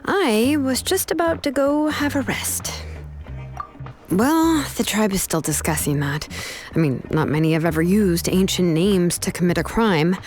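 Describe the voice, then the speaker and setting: A woman's voice: medium pitch, lively intonation, a little slow, a little mellow, soft background music, close to an everyday voice. A woman voicing a laid-back, capable young craftswoman in a video game, casual and a little put-upon. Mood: weary, matter-of-fact. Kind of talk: scripted game story dialogue.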